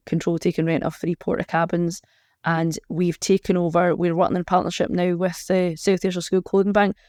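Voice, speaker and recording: A woman's voice: on the low side, darkish tone, dry recording, clean studio sound. A woman with a Scottish accent chatting informally as a charity organiser being interviewed. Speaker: charity organiser, podcast guest.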